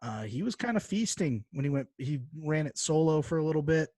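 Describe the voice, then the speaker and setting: A man's voice: on the high side, sing-song, brisk, darkish tone, dry recording, studio quality. A man hosting a podcast in casual chat, bantering informally with plenty of slang. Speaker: podcast host.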